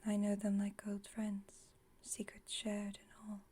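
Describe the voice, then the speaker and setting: A woman playing an aloof, wry vampire friend with a secretly caring side, in a soft, breathy ASMR roleplay, speaking in a dry, teasing, unhurried way. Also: mid-range voice, completely flat delivery. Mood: wry, gently comforting. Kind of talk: ASMR roleplay, intimate monologue.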